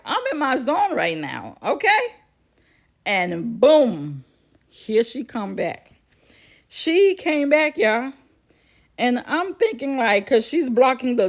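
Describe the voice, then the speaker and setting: A woman telling a personal story casually to viewers, chatty and repeating phrases for emphasis. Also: on the high side. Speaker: vlogger.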